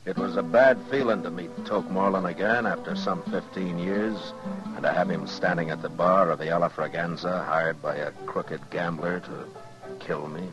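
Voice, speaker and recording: An actor playing a grave, steady, world-weary frontier marshal in a 1950s radio western. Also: male voice, sits low, normal speed, over music, low-quality recording. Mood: brooding. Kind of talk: 1950s radio drama acting. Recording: 1950s radio drama recording.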